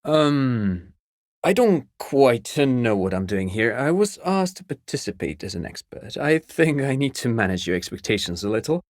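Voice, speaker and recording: An actor playing a chatty, courteous amateur academic in a video game, explaining things precisely like an eager enthusiast. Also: male voice, medium pitch, sing-song, normal speed, no room sound, clean studio sound, close to an everyday voice. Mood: modest, awkward. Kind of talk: game character dialogue.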